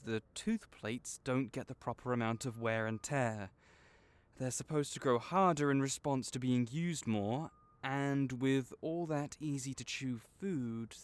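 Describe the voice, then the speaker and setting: A man playing a gentle, caring vet for magical creatures in an audio roleplay, speaking in a measured, reassuring, explanatory way. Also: medium pitch. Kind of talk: soft-spoken one-sided comfort roleplay.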